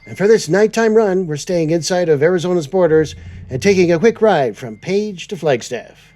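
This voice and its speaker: A man's voice: sits high, animated, normal speed, dark tone. Upbeat playful man hosting. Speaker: show host in trucking persona. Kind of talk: semi-scripted trucking show.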